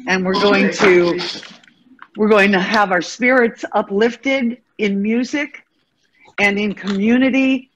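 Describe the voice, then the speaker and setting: A woman's voice: mid-range voice, fairly even intonation, takes it slowly. Middle-aged woman giving uplifting remarks. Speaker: program director. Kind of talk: prepared closing remarks. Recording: slightly dull video audio.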